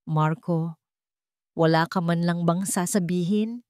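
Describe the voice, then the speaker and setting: A woman's voice: lowish voice, steady tone, slow pace, darkish tone, smooth, dry recording. A heartbroken poor young mother, played by a woman storyteller in a romantic audio drama. She pleads with raw emotion, and the sound is close and dry. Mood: hurt.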